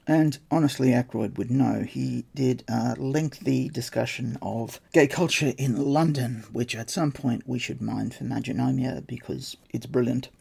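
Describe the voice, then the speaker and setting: A man's voice: medium pitch, creaky, mellow, husky. A man hosting a podcast, reading his research notes aloud with dry, wry asides. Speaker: podcast host.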